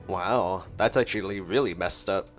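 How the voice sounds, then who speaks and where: Low-pitched man doing game commentary. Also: normal speed, soft background music. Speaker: gameplay streamer. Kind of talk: casual reaction commentary.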